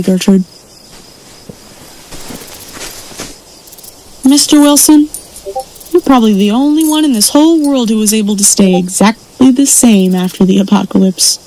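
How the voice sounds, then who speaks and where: A woman playing a steadfast, compassionate rancher and healer in an audio drama, speaking in an earnest, measured way. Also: mid-range voice, dark tone, a little hiss or hum, close to an everyday voice, low-quality recording. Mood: earnest, tender.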